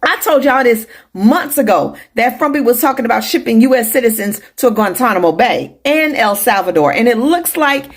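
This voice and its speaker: A woman's voice: a little high, expressive, moderate pace, few pauses. An American woman giving casual political commentary for social media in a colloquial, emphatic, incredulous way.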